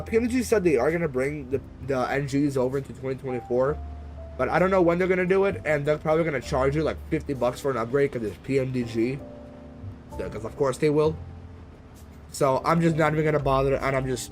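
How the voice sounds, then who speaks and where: A man chatting casually as he live-streams a flight simulator, in a rambling, slangy, conversational way. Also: fairly high pitch. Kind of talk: casual live-stream chat while gaming.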